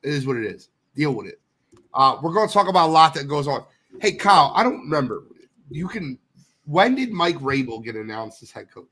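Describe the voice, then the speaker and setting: A man's voice: fairly high pitch, sing-song, brisk, a bit bright, breathy edge. A man co-hosting a casual sports podcast, blunt and teasing, who sounds congested from a sinus infection. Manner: blunt, swears, ribs the others. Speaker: podcast co-host.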